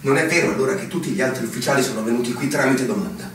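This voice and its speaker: A man's voice: mid-range voice, rapid-fire, fairly bright tone, close to an everyday voice. A young man playing an earnest, frustrated army lieutenant in a radio drama, speaking with formal, urgent pleading. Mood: agitated, wounded. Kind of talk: stage-style radio drama acting. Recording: very echoey, distant, faint noise.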